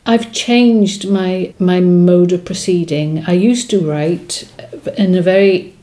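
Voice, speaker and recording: A woman whose first language is Welsh talks about her writing in a slow, reflective way, pausing and restarting as she thinks. Her voice sits low, with a warm and mellow tone. She sounds like a guest in a relaxed interview. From Wales. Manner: thoughtful, hesitant, many fillers and restarts.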